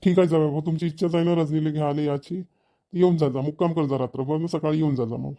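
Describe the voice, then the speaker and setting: A man voices a courteous father-in-law of middle age for a cartoon comedy. His fairly high voice moves quickly, with obliging manners and a Varhadi Marathi accent. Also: steady tone, warm tone, close to an everyday voice. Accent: Varhadi Marathi, Vidarbha. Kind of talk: cartoon family comedy dialogue.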